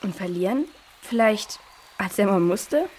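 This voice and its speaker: A woman's voice: mid-range voice, expressive, a little slow, a little mellow, a little hiss or hum, natural voice. A woman playing a practical, helpful girl searcher in a children's audio drama, inquisitive and matter-of-fact. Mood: matter-of-fact, puzzled.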